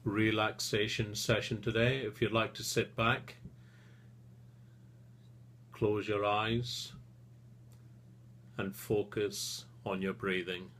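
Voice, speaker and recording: A man leading a guided relaxation session as a therapist, speaking soothingly and gently in short phrases with long pauses. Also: fairly low pitch, flat delivery, slight background noise.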